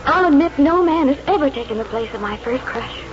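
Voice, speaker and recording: An actress playing a forthright, romantic young sweetheart, coy and theatrical in 1940s radio drama style. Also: female voice, high voice, expressive, brisk, soft background music. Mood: playful, frank. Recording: dull, noisy 1940s radio recording.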